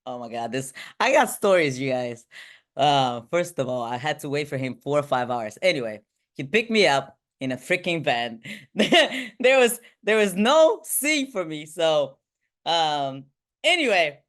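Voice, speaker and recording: A woman from Bulgaria, giving an energetic motivational talk to a group on a video call. She ends nearly every point with "right?" and her voice swings between high and low. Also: a little slow. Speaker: motivational speaker and team trainer.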